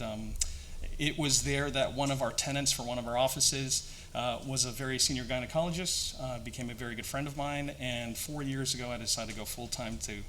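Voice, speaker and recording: A man's voice: mid-range voice, fairly bright tone, a little hiss or hum. A man in his forties with a North American accent, a company founder giving a conference talk, friendly with joking asides and many fillers. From Canada and Singapore. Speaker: guest speaker, company founder.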